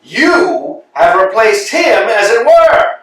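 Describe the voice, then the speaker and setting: An actor playing a pompous, domineering landowner in a staged play reading, swinging between grand bluster and hushed asides. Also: male voice, high voice, very animated, slow, distant mic, exaggerated voice. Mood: philosophizing. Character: pompous domineering landowner, middle-aged man. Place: large room, performed on its feet. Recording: echoey room, from afar.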